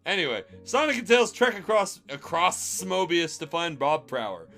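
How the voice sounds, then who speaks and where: Male streamer, comedic read-aloud, sharp bright tone, very lively swings, exclamatory banter. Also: a little high, moderate pace, faint music behind. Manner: animated, exclamatory, mocking. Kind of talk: comedic fan fiction reading and banter.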